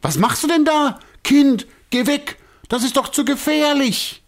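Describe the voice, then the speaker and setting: A frantic, wailing circus director. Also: male voice, animated, a little slow. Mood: alarmed. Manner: frantic, lamenting, high and strained. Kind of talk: storybook character voice.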